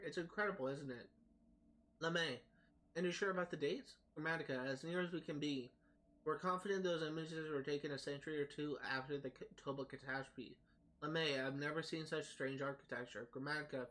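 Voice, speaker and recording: A man reading in-game text aloud on a gaming livestream, haltingly, often stumbling over words and names. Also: fairly high pitch, fairly bright tone. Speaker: livestream host.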